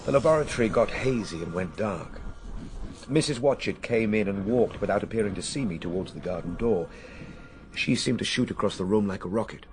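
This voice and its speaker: A man's voice: on the low side, fairly quick, noisy background, close to an everyday voice. An actor playing an earnest Victorian inventor recounting his travels in precise, formal radio drama style. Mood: awed, urgent.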